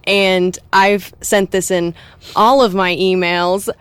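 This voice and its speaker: A woman's voice: medium pitch, level tone, slow, smooth, no room sound. A woman, a cast member, speaking reflectively in a casual interview.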